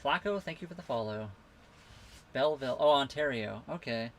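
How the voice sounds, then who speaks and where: A man from western Canada, hosting a casual live Q&A from his sailboat, reading out viewer comments and answering them in a relaxed, rambling way. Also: medium pitch, normal speed, a little hiss or hum. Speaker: livestream host answering viewer questions. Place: on a sailboat at a marina.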